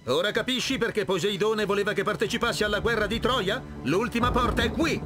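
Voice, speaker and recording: An actor playing an earnest warrior leader in a game, commanding and urgent. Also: male voice, high-pitched, fairly quick, close to an everyday voice. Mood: urgent, pleading. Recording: music behind the voice.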